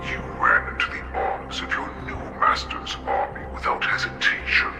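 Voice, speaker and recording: An abyssal, gravelly wolf warlord. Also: male voice, completely flat delivery, exaggerated voice. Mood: taunting menace. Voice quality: very deep, gravelly growl. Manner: slow, grandiose, ominous pronouncements with breathy hushes. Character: ancient, menacing wolf-like warlord, proud and manipulative. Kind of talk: dramatic video game villain acting. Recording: roomy reverb with music behind.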